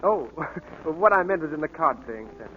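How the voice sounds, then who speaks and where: A man's voice: on the high side, expressive, a little slow. An American actor playing a shy, naive, earnest young scientist in 1940s radio drama style, flustered and hesitant as he talks. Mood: flustered, bashful. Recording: muffled, noisy 1940s radio broadcast.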